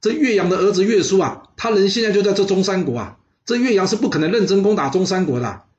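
A man's voice: high-pitched, animated, fast talker, a little mellow, echoey room, distant mic, muffled recording, voice pushed up. A man voicing a group of alarmed male court officials pleading with their lord.

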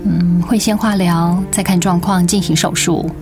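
A woman's voice: fairly low pitch, fairly even intonation, normal speed, music in the background, lightly acted. A woman playing a weary, self-doubting sick young mother in a radio drama, with a Taiwanese Mandarin accent. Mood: subdued, resigned. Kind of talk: dramatic radio play acting.

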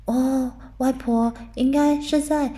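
A woman narrating a bedtime story for children in a soft, soothing way. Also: sits high, steady tone, slow. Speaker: storyteller mother.

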